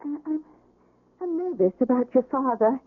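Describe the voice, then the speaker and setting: A woman's voice: sits high, animated, moderate pace. An actress playing an anxious, fussing mother of a grown daughter, stammering and fretful, in a 1970s radio drama. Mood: nervous. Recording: tinny old radio broadcast recording.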